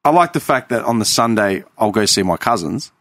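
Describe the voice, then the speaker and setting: Man, Australian accent, thirties, casual chat, blunt, swears, low voice. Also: expressive, fairly quick, a bit bright, dry recording. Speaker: podcast co-host.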